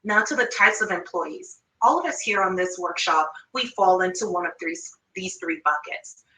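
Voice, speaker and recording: Bright-voiced Jamaican woman presenting a workshop. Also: fairly low pitch, moderate pace, echoey room, distant mic, low-quality recording. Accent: Jamaican, with Toronto and New York influence. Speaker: workshop presenter, finance manager.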